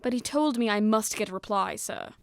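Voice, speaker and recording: A woman's voice: medium pitch, a little fast, gravelly, no room sound, close to an everyday voice. A woman playing a polite, deferential but insistent male servant delivering messages in an audio drama. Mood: apologetic. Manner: polite, subservient.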